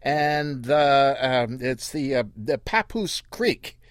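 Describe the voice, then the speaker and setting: A man's voice: animated, dry recording. A man voicing a nervous, lost city father who stammers and halts. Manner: stammering, halting, pitched up.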